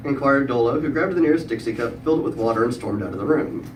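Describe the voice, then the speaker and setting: A distant, echo-heavy recording with clear background noise carries a middle-aged man's voice at an average male pitch. He delivers a prepared comic story to a live room. His manner is wry and dry-witted, with pauses placed for the joke. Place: live event room with audience.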